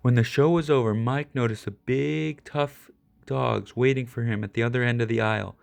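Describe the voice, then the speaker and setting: Male narrator, medium pitch with noticeable fry, expressive read-aloud of a picture book for children. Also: normal speed. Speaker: storybook reader.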